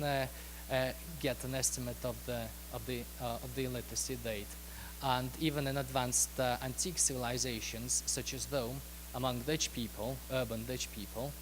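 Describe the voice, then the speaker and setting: A man from Russia giving a conference talk with slides, speaking haltingly with many ums, uhs and restarts. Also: medium pitch, noisy background. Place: lecture hall at a conference.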